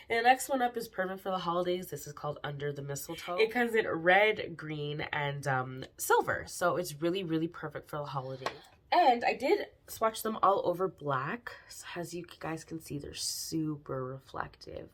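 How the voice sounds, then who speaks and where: A young woman with a slightly raspy voice from a cold, chatting through a casual nail polish haul vlog with lots of ums. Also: sits low. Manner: chatty, frequent ums, bubbly about products.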